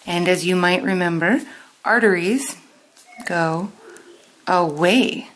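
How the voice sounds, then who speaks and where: A woman teaching a step-by-step lesson in a deliberate, instructional way, pausing and stressing key terms. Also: lowish voice, a little hiss or hum, poor audio. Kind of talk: step-by-step lesson with pauses for note-taking.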